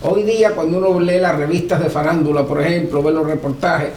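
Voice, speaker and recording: Male interviewer, echoey room, higher voice. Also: steady tone, a little fast, darkish tone. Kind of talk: oral history interview. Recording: echoey room with background noise.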